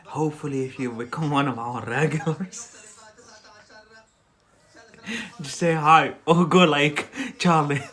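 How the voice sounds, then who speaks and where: A man's voice: fairly high pitch, steady tone, slow pace, pauses often, fairly bright tone. A young man from Algeria, whose first language is Algerian Arabic, hosts an English-learning live stream in a casual, rambling way with many fillers and frequent laughs.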